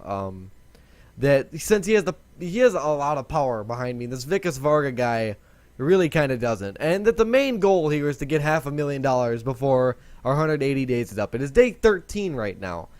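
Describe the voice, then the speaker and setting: A young man hosting a casual gaming video, rambling and chatty as he corrects himself, with a voice he says is lower than usual today. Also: very animated, normal speed, a little mellow, no room sound. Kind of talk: casual let's-play commentary.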